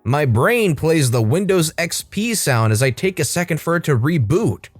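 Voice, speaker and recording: Lively wry male story narrator. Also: on the high side, no echo. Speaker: narrator of reddit stories. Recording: dry close studio sound, faint music.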